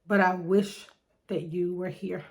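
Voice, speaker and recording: Slow-spoken woman, slightly nasal. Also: on the low side, steady tone. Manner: slow and deliberate, with pauses and fillers. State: slightly nasal from seasonal allergies. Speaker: poet hosting her own segment. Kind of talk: reflective spoken monologue with poetry reading.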